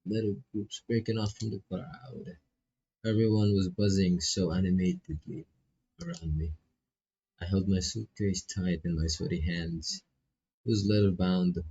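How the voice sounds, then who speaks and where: Man, very low pitch, non-native English, husky, streamer reading aloud, stumbling, casual asides, dull lo-fi mic. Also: dark tone, slight background noise. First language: not English. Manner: stumbles and repeats phrases while reading. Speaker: streamer playing a visual novel. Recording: dull, lo-fi microphone sound.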